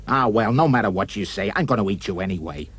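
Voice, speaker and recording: A man voicing a greedy, menacing wolf villain in a storybook puppet show, with theatrical, gloating, drawn-out taunts. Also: lowish voice, lively intonation, a bit bright, breathy edge. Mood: sly, menacing.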